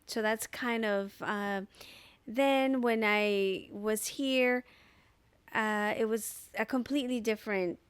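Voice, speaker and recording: Slow, smooth-voiced woman from El Salvador. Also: mid-range voice, dry recording. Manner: unhurried, thoughtful, with frequent fillers. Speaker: podcast guest. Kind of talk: casual interview storytelling. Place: living room with couch. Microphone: desk mic on boom arm.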